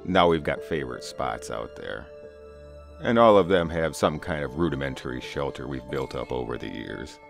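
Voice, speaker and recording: Man, middle-aged, very low voice, folksy first-person storytelling, faint music behind. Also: animated, normal speed, vocal fry. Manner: conversational, folksy. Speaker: storyteller, narrator. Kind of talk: first-person campfire horror story narration.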